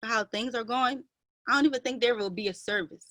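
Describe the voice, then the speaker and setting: A woman's voice: a little high, a little fast, a bit bright, no room sound, close to an everyday voice. A woman playing a grieving, defiant younger sister, speaking with emotional indignation in an online dramatic play reading. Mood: grieving, hurt. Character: Joy, grieving, defiant younger half-sister standing her ground.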